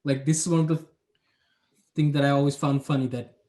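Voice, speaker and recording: A man's voice: a little high, little pitch movement, a little fast, a little mellow. A young adult man from Bangladesh, talking about his planning job in a casual interview, reflective and hedging with many fillers. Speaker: urban planner, guest.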